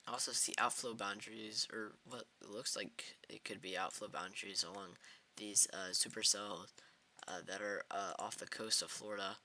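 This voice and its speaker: Halting man with a bright, sharp voice. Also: on the low side, fairly even intonation. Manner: hesitant, many fillers and restarts. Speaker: livestream weather host. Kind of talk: live severe weather commentary.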